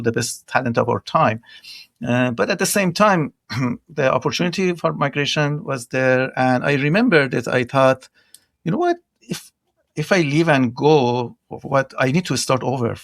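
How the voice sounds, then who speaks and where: A man's voice: medium pitch, normal speed, creaky, a bit bright, no room sound, studio quality. A middle-aged man from Iran with an Iranian accent, telling stories conversationally as an interview guest. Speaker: podcast guest, tech entrepreneur.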